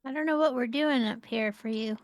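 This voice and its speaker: A woman with a fairly high voice chats while gaming, giving short, relaxed replies and nudging her partner along. The sound is dry and close to the mic. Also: fairly quick, no room sound. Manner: short, easygoing replies and prompts. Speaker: co-host playing an online game. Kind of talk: casual gaming chat.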